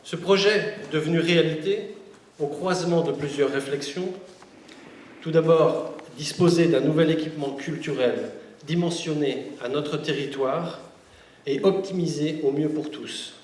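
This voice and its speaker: A man speaks as a local official at a ceremony, reading a prepared speech in measured, oratorical phrases. His voice is a little high. It comes from some distance in an echoey space with faint noise. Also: darkish tone. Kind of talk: formal prepared inauguration speech.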